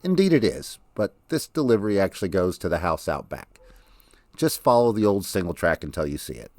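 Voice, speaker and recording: A man voicing a genial, soft-spoken man in his eighties in an audiobook. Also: low-pitched, very animated, brisk, dry recording, voice pushed up. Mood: helpful, knowing.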